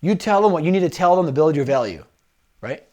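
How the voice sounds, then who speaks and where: Man, slightly high voice, career coach, directive and repetitive, video call audio. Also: expressive, warm tone. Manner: directive, repeats points, quick asides. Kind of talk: one-on-one interview coaching.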